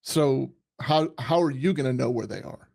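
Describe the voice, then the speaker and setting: A man giving casual, unscripted commentary, thinking aloud haltingly and repeating words as he goes. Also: mid-range voice, creaky, darkish tone, slightly rough. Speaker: commentator, retired police commander.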